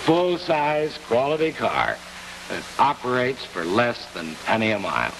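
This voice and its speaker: An American man answering as an executive guest in a 1950s television interview, in earnest, measured short phrases. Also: medium pitch, very animated, gravelly. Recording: distant, noisy 1950s television broadcast.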